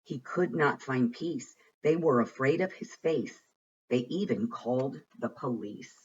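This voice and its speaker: A man reading a rhyming children's storybook aloud with a rhythmic, expressive and deliberate delivery. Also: medium pitch, breathy edge, lo-fi sound. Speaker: reader of a children's picture book.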